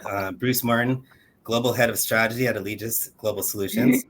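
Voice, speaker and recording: Man, panel host, video call, low voice, faint noise behind, casual hesitant delivery. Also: fairly quick. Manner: relaxed, conversational, some filler hesitations. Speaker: webinar host.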